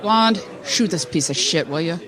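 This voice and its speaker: A woman's voice: on the low side, expressive, moderate pace, natural voice. A woman playing a foul-mouthed, bossy crime boss in an audio drama, talking crudely. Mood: commanding. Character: foul-mouthed brash crime boss holding forth at breakfast. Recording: chatter of a crowd behind.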